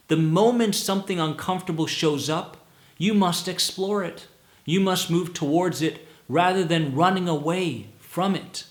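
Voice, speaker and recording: A man coaching listeners through anxiety speaks slowly and deliberately, leaning hard on repeated words. His fairly high voice rises and falls a great deal as he presses each point. The sound carries the echo of a reverberant room. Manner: emphatic, repeats words for stress, slow deliberate delivery. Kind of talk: motivational talk to listeners.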